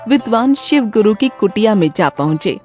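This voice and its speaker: A woman's voice: medium pitch, lively intonation, moderate pace, darkish tone, soft background music. A woman narrating a scripted children's moral story as the storyteller.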